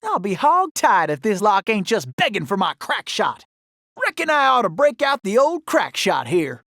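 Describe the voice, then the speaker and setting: A man's voice: high-pitched, wildly swooping pitch, normal speed, pushed and bright, no echo, studio quality, exaggerated voice. A man voicing a folksy, wisecracking cowboy gunslinger animal in a video game, with a Southern Old West drawl and colorful frontier slang. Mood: folksy, upbeat. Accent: American Old West Southern drawl. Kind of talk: video game character acting.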